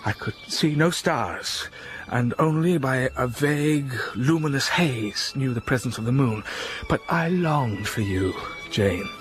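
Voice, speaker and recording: An actor playing a blind, scarred older gentleman in a radio drama, speaking with intense, impassioned feeling. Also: medium pitch, lively intonation, a little slow, music in the background, lightly acted. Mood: brooding.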